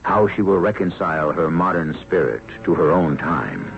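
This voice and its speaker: An older man hosting a radio drama, narrating in a measured, theatrical way with a gravelly voice. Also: low-pitched, animated. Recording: dull old radio broadcast. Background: dramatic music.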